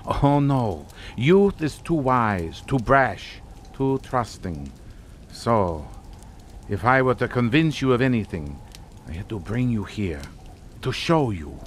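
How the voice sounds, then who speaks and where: A theatrical, menacing older count. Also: male voice, mid-range voice, very animated, takes it slowly, a little hiss or hum. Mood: grave, lecturing. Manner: theatrical, taunting, formal. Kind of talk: radio suspense drama acting.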